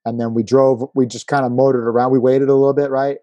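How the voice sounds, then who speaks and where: Male podcast host, lowish mellow voice, laid-back casual chat, very dry studio-like sound. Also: flat delivery, fast talker, dry recording.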